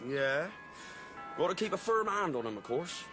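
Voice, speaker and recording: Crafty english country farmer, highish lilting voice, confiding, radio play. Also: male voice, very animated, normal speed, music in the background. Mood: agreeing. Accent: rural English. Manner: rustic, confiding. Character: sly, cautious, opportunistic English farmer. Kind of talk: radio drama acting.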